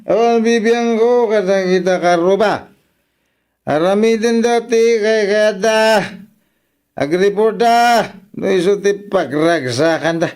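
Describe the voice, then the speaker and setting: A man's voice: sits high, slow, a little mellow, clean tone. A man with an Ilocano accent playing a brash, drunken young troublemaker, slurred and defiant, in a radio drama.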